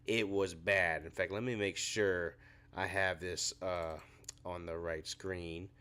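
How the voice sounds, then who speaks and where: A man's voice: sits low, steady tone, slow pace, pushed and bright, clear, resonant tone. A young man hosting a postgame sports reaction show, fighting a cold and sounding a bit scratchy, ranting in frustration. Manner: frustrated ranting, rising when angry. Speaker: podcast host.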